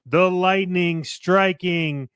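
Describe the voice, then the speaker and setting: High voiced guy hosting a podcast, theatrical and full of himself for fun, dry close sound. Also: drawn-out words, a bit bright, clean tone, no echo. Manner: theatrical, playfully self-promoting. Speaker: podcast host. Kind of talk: podcast sign-off banter.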